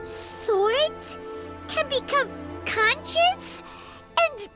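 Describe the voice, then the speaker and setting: Tiny squeaky childlike sprite. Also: female voice, expressive, very slow, full character voice. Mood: startled, curious. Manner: squeaky, exclamatory, cheeky. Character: tiny, squeaky, blunt excitable childlike female companion sprite. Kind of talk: video game voice acting. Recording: music under the voice.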